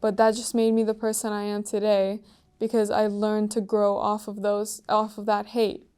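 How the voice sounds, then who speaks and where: A teenage girl from Iran answering interview questions in an earnest, soft-spoken way, with frequent "like" and "um" fillers. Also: medium pitch, completely flat delivery, normal speed, clear, resonant tone. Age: teens.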